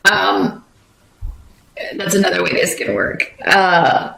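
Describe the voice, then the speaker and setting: A woman's voice: medium pitch, slow pace, gravelly, bright, forceful tone, reverberant, slight background noise, poor audio. A woman giving a casual tarot reading, rambling with many fillers and restarts. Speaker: tarot reader.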